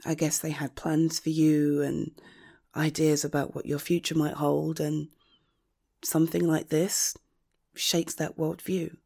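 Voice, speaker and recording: A woman acting as a loyal, protective friend in an intimate audio roleplay, speaking earnestly and emotionally with halting, emphatic phrasing. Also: low voice, level tone, vocal fry, mellow, heavily acted. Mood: gentle, reassuring.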